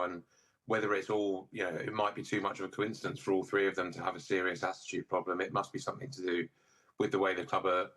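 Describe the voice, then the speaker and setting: A man speaking as a football pundit in a broadcast panel discussion, measured and analytical. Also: deep voice, pushed and bright, rough recording.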